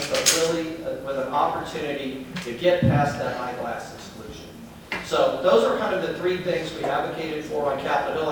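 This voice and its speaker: A man's voice: fairly high pitch, background noise. Southern man addressing a meeting, echoey room. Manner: chatty, asks the audience questions. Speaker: advocacy group speaker. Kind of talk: informal speech to a meeting. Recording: echoey room, distant mic, crowd.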